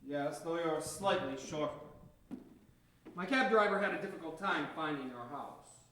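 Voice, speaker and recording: A man plays a formal, blunt gentleman caller in a drama. His voice is slightly high and sounds distant in a very echoey room. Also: lively intonation, measured pace, a little hiss or hum. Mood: formal, dry. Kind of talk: dramatic acting.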